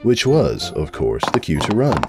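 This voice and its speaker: A man's voice: fairly low pitch, wildly swooping pitch, unhurried, over loud music. A man narrating a comic story as a dramatic reading.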